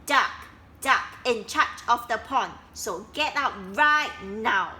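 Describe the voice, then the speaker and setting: Woman as a pompous storybook duck: loud, bright, snapping orders. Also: a little high, expressive, slow, slight background noise. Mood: bossy. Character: bossy, self-important male duck in charge of a pond.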